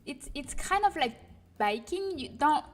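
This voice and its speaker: A woman's voice: sits high, lively intonation, unhurried, faint music behind. A woman whose first language is Portuguese, chatting casually on a livestream as she works, thinking aloud and correcting herself as she goes. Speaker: streamer making game content. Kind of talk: casual livestream chat while working.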